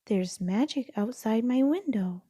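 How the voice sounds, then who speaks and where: Storybook joey , female reader: young boy, wide-eyed, excitable, pleading, raised pitch, close dry sound. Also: unhurried, breathy edge, no echo. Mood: restless. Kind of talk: storybook read-aloud.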